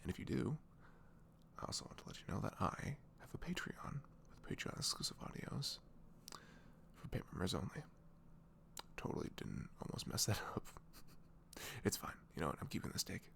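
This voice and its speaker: Creaky, half-whispering casual man. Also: fairly low pitch, very animated, a little fast, pauses often, a bit bright. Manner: self-deprecating, informal. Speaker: audio roleplay creator. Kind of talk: casual intro, ASMR.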